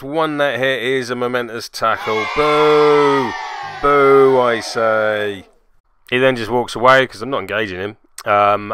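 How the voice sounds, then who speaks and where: A man's voice: medium pitch, pushed and bright, smooth, dry recording. A man narrating a tabletop game match he plays in, with dry, self-mocking, sarcastic humour. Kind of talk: voice-over game commentary.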